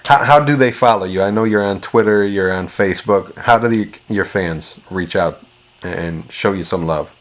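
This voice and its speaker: A man's voice: lowish voice, normal speed. A man interviewing a boxer in a casual, friendly and admiring way. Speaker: boxing interviewer.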